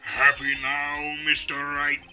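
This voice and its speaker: A man's voice: on the high side, completely flat delivery, takes it slowly, music in the background. A man playing a haughty, contemptuous prosecutor in a game fandub, with a pompous, sarcastic delivery. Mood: smug contempt. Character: haughty, imperious male prosecutor, coldly contemptuous. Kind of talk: theatrical video game fandub courtroom acting.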